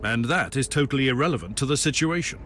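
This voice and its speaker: A man's voice: mid-range voice, expressive, fast, fairly bright tone, slight background noise, natural voice. A man playing a stern, ruthless human inquisitor in a video game, with a blunt, authoritative delivery. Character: stern, commanding male human Inquisitor psyker, ruthless investigator. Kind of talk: dramatic video game acting.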